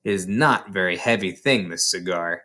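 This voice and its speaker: A man voicing a determined, excitable young schoolgirl in a storybook, speaking with earnest emphasis. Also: low voice, normal speed, voice pushed down.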